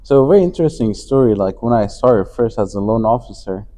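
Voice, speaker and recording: A man's voice: fairly low pitch, animated, brisk, mellow. A man from Afghanistan, a company CEO, talking as a guest in a casual interview, with many fillers and restarts. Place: conference venue.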